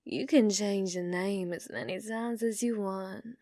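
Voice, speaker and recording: Casting: actress as possessive yandere bounty hunter for ASMR roleplay; female, unhurried, sultry, dominant, slipping into whispers, close dry sound. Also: mid-range voice, fairly even intonation, warm tone, no echo, lightly acted. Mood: knowing, possessive. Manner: slow, taunting, sultry, often dropping into whispers.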